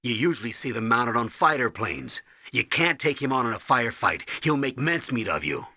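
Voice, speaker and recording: An actor playing a stern older colonel in a video game, briefing and giving orders with a husky, commanding voice. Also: male voice, mid-range voice, very animated, a little fast, sharp tone, no room sound, clean studio sound. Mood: instructive. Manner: commanding, urgent, instructive.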